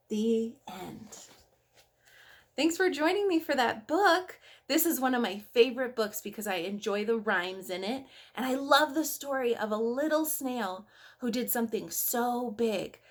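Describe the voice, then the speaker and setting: A woman reading a picture book aloud to young children in an expressive way, with dramatic emphasis, speaking straight to her listeners. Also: on the high side. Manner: addresses young listeners directly with questions.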